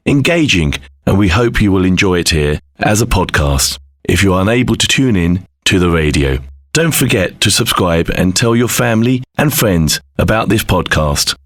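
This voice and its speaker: A man giving a formal, measured, scripted podcast introduction as a station executive. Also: sits low, dry recording, clean studio sound. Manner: formal, measured promotional delivery. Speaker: radio station managing director.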